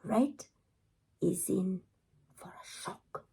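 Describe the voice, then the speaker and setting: A woman's voice: mid-range voice, extremely slow, vocal fry, dark tone, breathy edge, in a whisper, exaggerated voice. A woman voicing a cunning, hungry male wolf in a children's storybook, sly and menacing. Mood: scheming.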